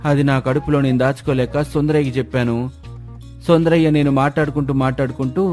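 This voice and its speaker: A man's voice: mid-range voice, mellow, natural voice. A man playing an anxious villager in a moral story, deferentially explaining and defending himself. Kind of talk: acted moral storytelling dialogue.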